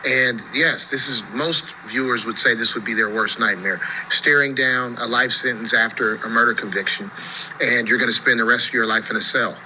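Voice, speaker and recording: A man's voice: mid-range voice, steady tone, moderate pace, sharp tone, slight background noise, rough recording. An American man giving conversational legal commentary as an attorney, explaining things with frequent asides of "you know".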